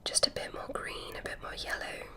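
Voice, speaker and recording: A woman voicing a curious alien examiner who tests a human, speaking in a soft, clinical ASMR whisper. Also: brisk, close to an everyday voice. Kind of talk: ASMR medical roleplay.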